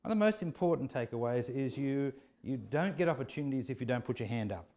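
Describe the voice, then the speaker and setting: A middle-aged man with an Australian accent giving measured, unscripted interview answers with some hesitant phrasing. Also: mid-range voice, lively intonation, warm tone. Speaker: business school dean, interviewee. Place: walking through a university building. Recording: echoey indoor hallway.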